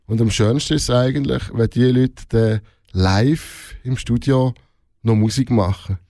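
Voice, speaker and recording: Middle aged swiss german guy chatting in an interview, soft mellow tone, close dry sound, bit halting. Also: mid-range voice, no room sound. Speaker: radio show host being interviewed. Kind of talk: casual interview talk.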